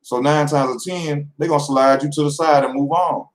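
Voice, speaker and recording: A man's voice: a little high, steady tone, a little fast. A man in his twenties hosting a casual vlog monologue, talking loosely and slangily straight to his viewers. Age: 20s. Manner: loose, rambling and slangy, addressing viewers directly. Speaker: boxing channel host. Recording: echoey room.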